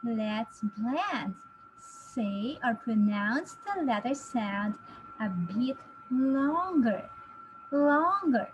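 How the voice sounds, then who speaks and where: A woman in her thirties teaching a phonics lesson to young children, speaking deliberately and encouragingly with exaggerated enunciation. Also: fairly high pitch, expressive, slight background noise, poor audio.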